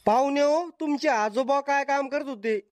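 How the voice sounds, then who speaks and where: A grumbling old village father from a comedy cartoon, voiced by a woman. The voice is notably high and dry, with a forthright way of speaking. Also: moderate pace, darkish tone, no echo, natural voice.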